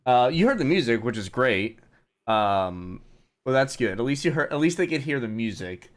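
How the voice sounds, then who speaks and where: Male stream host, mid-pitched, casual and halting, self-deprecating in his commentary. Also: big pitch swings. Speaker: livestream host. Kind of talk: casual livestream commentary chat.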